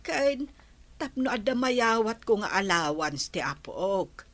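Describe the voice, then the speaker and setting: A woman's voice: mid-range voice, big pitch swings, takes it slowly. A woman playing a hardworking, respectful older housemaid and grandmother in an audio drama, speaking deferentially. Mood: earnest, respectful.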